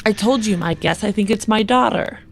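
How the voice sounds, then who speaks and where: Creaky-voiced woman playing a tabletop game. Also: medium pitch, fairly quick, soft background music. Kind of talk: improvised in-character role-play and casual chat.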